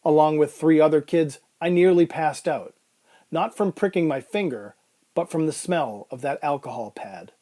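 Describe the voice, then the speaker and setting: A man playing an uneasy, strapped-down patient, telling his surreal ordeal as brooding, deliberate first-person narration in an audio drama. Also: a little high, mellow, lightly acted. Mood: brooding, wondering.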